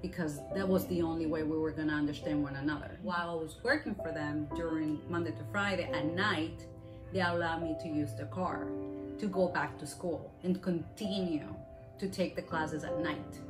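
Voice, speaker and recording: A woman's voice: deep voice, steady tone, moderate pace. A woman from Venezuela whose first language is Spanish, earnestly telling her migration story in long run-on sentences. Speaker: interviewee. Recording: music playing under the voice.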